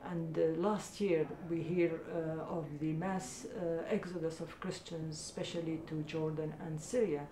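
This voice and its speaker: A woman's voice: flat delivery, measured pace, hardly any pauses, mellow. A middle-aged woman from Iraq answering interview questions about her charity, speaking earnestly with many hesitations. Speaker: charity founder. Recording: echoey room with faint rumble.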